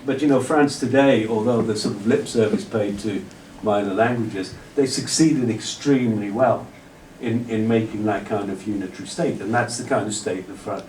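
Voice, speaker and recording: A man's voice: on the low side, normal speed, hardly any pauses, darkish tone, distant mic, slight background noise. Man lecturing in an echoey hall. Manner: discursive, many restarts and "you know". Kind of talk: lecture Q&A answer. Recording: roomy hall audio from afar.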